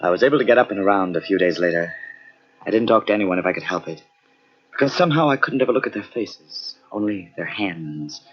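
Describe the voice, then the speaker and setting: A man's voice: deep voice, animated, fast talker, husky. An actor playing an obsessed, half-mad pianist who lost his hand, intense and theatrical in a vintage radio mystery. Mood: brooding. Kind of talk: vintage radio mystery acting with first-person narration. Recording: muffled vintage radio drama on cassette.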